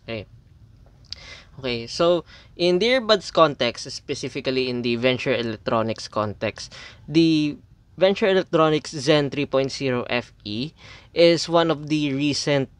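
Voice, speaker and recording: A man's voice: fairly high pitch, expressive, unhurried, smooth, no echo. Hesitant Filipino man reviewing earbuds. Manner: hesitant, many fillers and restarts. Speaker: audio reviewer. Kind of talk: casual product review.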